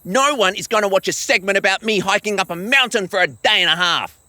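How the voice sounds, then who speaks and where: A man's voice: high voice, fairly quick, sharp tone, dry recording. A man playing a pompous, hot-tempered male TV monster hunter in an audio drama, with an indignant, theatrical delivery. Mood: haughty.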